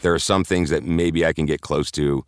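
A man with a deep, very low voice talks casually as an interview guest. He rambles and halts, with frequent fillers and restarts. The sound is dry and close. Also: fairly even intonation, normal speed, clean tone, no room sound.

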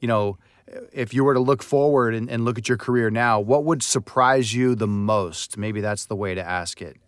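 Man, podcast host, easygoing, mid-pitched, dry close mic. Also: moderate pace, smooth, no room sound. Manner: easygoing, affirming, thinks aloud. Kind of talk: casual podcast interview.

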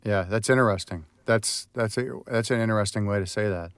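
A man's voice: lowish voice, a little fast, clear, resonant tone, no echo, studio quality. A man hosting a casual podcast interview, easygoing and affirming, thinking aloud as he asks. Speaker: podcast host.

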